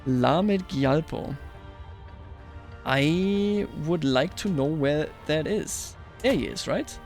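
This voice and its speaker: A man's voice: on the high side, expressive, slow. A man giving live roleplay commentary over a strategy game, thinking aloud and now and then addressing characters theatrically, with music behind him. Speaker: gaming video host.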